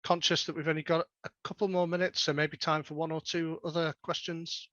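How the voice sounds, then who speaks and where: A man's voice: on the high side, flat delivery, fairly quick, fairly bright tone, no room sound, muffled recording. A man hosting a webinar, politely reading out audience questions and thanking the speaker. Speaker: webinar host.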